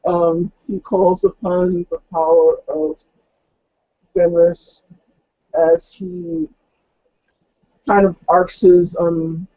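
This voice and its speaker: A tabletop roleplaying player talking casually about the game in a hesitant, halting way. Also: mid-range voice, dark tone, dry recording. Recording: dull, close lo-fi recording.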